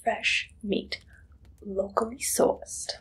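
A young woman playing an eager, shifty Victorian pie-shop keeper in an ASMR roleplay, breathy and whispery, halting and coyly secretive. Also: mid-range voice, fairly bright tone, exaggerated voice, poor audio. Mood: coaxing, proud. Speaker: ASMR performer. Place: small dim indoor room.

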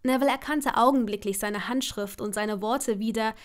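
A woman's voice: on the high side, moderate pace. A woman reading scripted story narration as the narrator of an audio drama.

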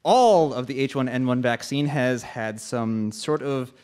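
A man playing a flustered, apologetic director who haltingly delivers breaking news in a live radio drama. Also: medium pitch, darkish tone, clear, resonant tone, close to an everyday voice. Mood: bewildered.

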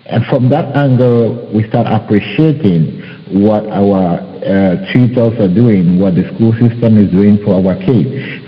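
A man from Cameroon speaking as a panelist on an online talk show, halting and searching for words. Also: on the low side, big-room sound, muffled recording. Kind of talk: casual video call discussion.

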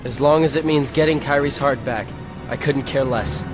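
A man's voice: mid-range voice, normal speed, lightly acted. Casting: teenage male rival man acting, cold and self-assured, mid-range voice, music underneath. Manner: cool, taunting, self-assured. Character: cold, arrogant teenage boy rival turned dark.

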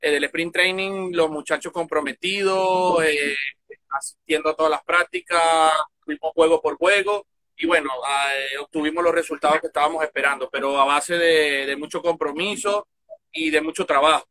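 A man's voice: fairly high pitch, fairly even intonation, normal speed, bright, forceful tone. A man, speaking for a baseball club in an interview, hesitant and full of fillers, recorded outdoors on a phone. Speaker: club representative, guest. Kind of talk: casual interview answers. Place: outdoors by a field.

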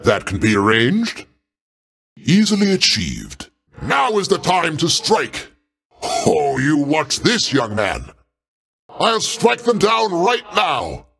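A proud king of the gods who booms with imperious authority. He speaks slowly, with wide swings in pitch. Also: wildly swooping pitch, vocal fry, a bit bright, breathy edge, heavily acted. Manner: imperious, booming. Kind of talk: video game voice lines.

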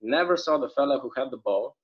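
A man's voice: medium pitch, expressive, a little fast, husky. A man reading the part of a chatty small-town bartender who rambles through his stories, in a video-call table read. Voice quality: easygoing, rambling storyteller. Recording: dull lo-fi video call audio.

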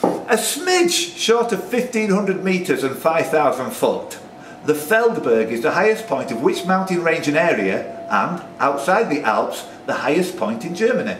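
A man's voice: fairly high pitch, big pitch swings, a little slow, few pauses, fairly bright tone, recorded from a distance. A man, acting as quizmaster, reading questions and bantering with the players. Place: pub, indoors among a group of players. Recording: roomy pub recording, off-mic, faint noise.

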